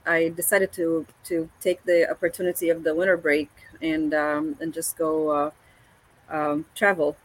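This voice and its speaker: A woman, a paramotor pilot speaking as an interview guest, hesitant with many pauses and restarts. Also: deep voice, little pitch movement, poor audio. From Egypt. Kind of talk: casual video-call interview.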